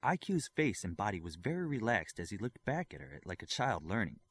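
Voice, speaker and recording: A man's voice: on the low side, very animated, a little fast, slightly rough. A man reading a scripted story aloud as an audiobook narrator. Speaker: audiobook reader.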